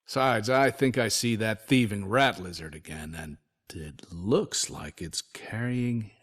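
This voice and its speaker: A weary male survivor and former soldier from a video game, telling his story as letters. His voice sits on the low side. He talks in a folksy, wry, grumbling way, like a storyteller resigned to bad luck. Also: a little slow, fairly bright tone. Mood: rueful, tense. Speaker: voice actor reading journal notes. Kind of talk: dramatic first-person letter narration.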